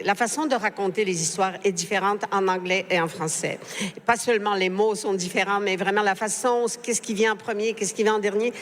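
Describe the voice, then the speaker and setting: Woman journalist, non-native French speaker, hesitant, live panel in an echoing hall. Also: mid-range voice. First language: not French. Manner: hesitant, frequent fillers and restarts. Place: book fair stage. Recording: reverberant hall.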